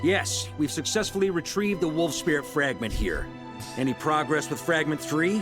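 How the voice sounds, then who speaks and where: Game cast: man playing a calm, commanding knight leader, lively pitch, music behind. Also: moderate pace, natural voice. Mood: assured. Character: confident male knight commander, middle-aged.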